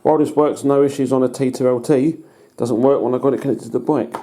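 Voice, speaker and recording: A British man giving a casual hands-on demonstration as a hobbyist, in a halting, matter-of-fact way. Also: mid-range voice, steady tone, mellow. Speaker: hobbyist presenter. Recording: noticeable background noise.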